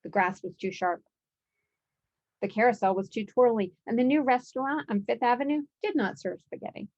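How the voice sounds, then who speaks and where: A woman's voice: on the high side, normal speed, darkish tone, lo-fi sound. A woman narrating a children's picture book aloud as its author.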